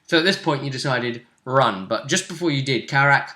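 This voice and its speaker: A man game mastering, casually recapping a tabletop roleplay session in chatty, animated narration. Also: mid-range voice, a little fast.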